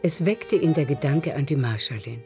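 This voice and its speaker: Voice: medium pitch, expressive, normal speed, music in the background, lightly acted. A performer as a composed literary narrator, reading scripted narration in a radio drama. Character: composed literary narrator of a nineteenth-century novel.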